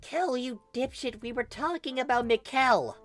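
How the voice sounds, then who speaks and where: A woman's voice: sits high, normal speed, faint music behind, pitched above the performer's own voice, lightly acted. A woman voicing a brash, foul-mouthed tough teenage girl with a grumbly, exasperated manner. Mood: menacing, exasperated.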